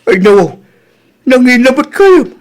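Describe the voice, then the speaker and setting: A man's voice: extremely high pitch, big pitch swings, measured pace, exaggerated voice. A man playing an exasperated, blunt older husband with an Ilocano accent in a comedy drama, grumbling and exclaiming.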